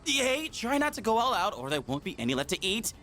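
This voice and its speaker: A man's voice: high voice, a little fast, full character voice. An actor voicing a laid-back, goofy, self-conscious teenage boy with an American accent and slangy delivery in a video game. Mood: exasperated. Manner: slangy, casual, animated. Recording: music behind the voice. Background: game music.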